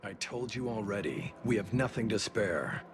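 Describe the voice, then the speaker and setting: Lowish-voiced stern official. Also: male voice, expressive, moderate pace. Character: stern, overstretched male official refusing pleas. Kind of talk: video game voice acting.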